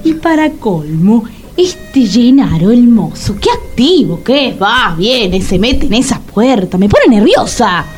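A restless, grumpy woman on a bad date in a radio comedy. Her voice is fairly high, with a blunt, sarcastic, complaining manner over light music. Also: sing-song, a little slow, a little mellow. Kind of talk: comic radio drama acting. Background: music behind the voice.

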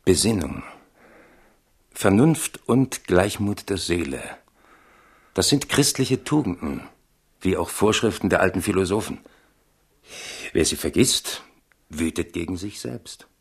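Deep, husky, wry royal attendant. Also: male voice, animated, measured pace, pauses often, heavily acted. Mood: calming, admonishing. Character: loyal male attendant, admonishing, philosophical, wry. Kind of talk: German radio drama acting.

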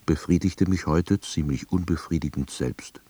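An actor playing a lonely, melancholy laid-off East German husband, narrating his own story in a terse, deadpan diary manner. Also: very deep voice, moderate pace, breathy edge. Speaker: narrator and lead actor of a radio drama. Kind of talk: scripted first-person narration.

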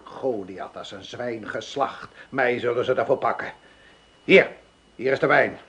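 A man's voice: mid-range voice, a little slow, fairly bright tone, lightly acted. An actor playing a blunt, defiant farmer who insists he is never afraid. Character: boastful older male farmer, claims no fear. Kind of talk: Dutch radio drama acting.

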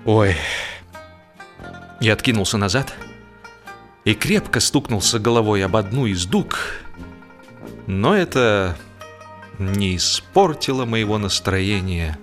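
A man narrating a humorous literary story as a radio actor, expressive and theatrical, drawing out words for emphasis. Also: fairly low pitch, measured pace, pauses often, faint music behind. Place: radio studio.

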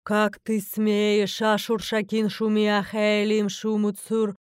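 A woman's voice: medium pitch, monotone, takes it slowly, clear, resonant tone, no room sound, clean studio sound, close to an everyday voice. A woman voicing an imperious, easily irritated mother goddess in a fantasy audiobook, speaking in a grand, stern, declamatory way. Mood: stern, menacing. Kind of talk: fantasy audiobook character acting.

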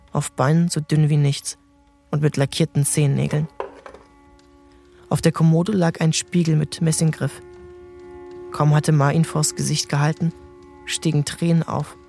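Calm male audiobook narrator. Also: fairly high pitch, gravelly. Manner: calm, measured storytelling.

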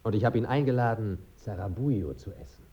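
A man's voice: on the low side, brisk, warm tone, slightly rough, lo-fi sound. A man acting in a German radio play as a dead writer, a bantering, evasive, pleasure-loving friend who savours his words. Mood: wry, expansive.

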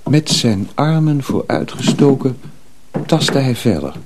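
A man's voice: medium pitch, sing-song, slow, background noise. A man reading scripted story narration for a radio drama.

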